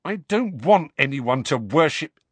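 A man's voice: a little high, huge pitch swings, normal speed, husky, dry recording, pitched above the performer's own voice, exaggerated voice. A man reading an audiobook, voicing a teenage boy who sounds insistent, defensive and heated. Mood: indignant. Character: indignant teenage wizard boy. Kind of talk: audiobook character voice.

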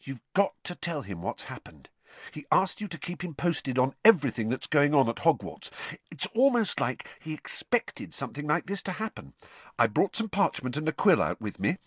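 A man's voice: on the high side, very animated, normal speed, husky, no echo, pitched above the performer's own voice. A man reading an audiobook, voicing an earnest, worried teenage girl who is bossy and sensible. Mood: urgent.

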